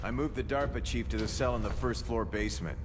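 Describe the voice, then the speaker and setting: An actor playing a brusque, businesslike base guard giving orders in a video game. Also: male voice, fairly low pitch, quick pace, noisy background, natural voice. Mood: businesslike, stern. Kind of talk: dramatic video game voice acting.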